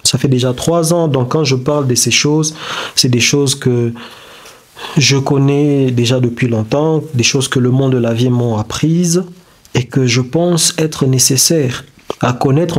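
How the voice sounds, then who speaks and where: Man giving a halting motivational talk. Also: mid-range voice. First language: not French. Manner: halting, searching for words with many restarts. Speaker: self-development video creator. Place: indoors beside a whiteboard diagram.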